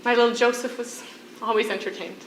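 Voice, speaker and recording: A woman acting in a stage play as a defiant condemned prisoner and devoted mother, speaking in earnest, deliberate phrases. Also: a little high, steady tone, lightly acted. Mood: tender. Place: theatre stage, live performance. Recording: echoey stage recording with faint noise.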